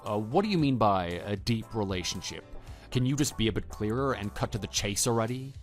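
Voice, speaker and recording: A man playing a level-headed, skeptical husband with a measured, hesitant delivery in an audio drama. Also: on the low side, animated, faint music behind, natural voice. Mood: wary, impatient. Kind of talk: scripted texting-story radio drama.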